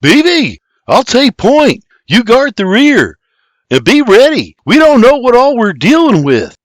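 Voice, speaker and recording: A man's voice: very high voice, wildly swooping pitch, a little slow, no room sound, clean studio sound, voice pushed up, exaggerated voice. A man voicing a brave, take-charge frontier man who calls out urgently and commandingly in a story. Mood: firm, commanding. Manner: shouting, commanding.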